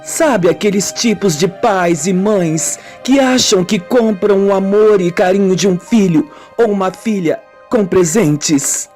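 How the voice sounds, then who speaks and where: A woman with a Brazilian accent playing a wounded, brooding woman confessing her childhood in a melodramatic, deliberate radio soap style. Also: mid-range voice, a little mellow, close to an everyday voice. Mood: wistful, bitter. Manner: slow, melodramatic, deliberate storytelling. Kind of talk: amateur radio soap acting. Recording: music bed under the voice.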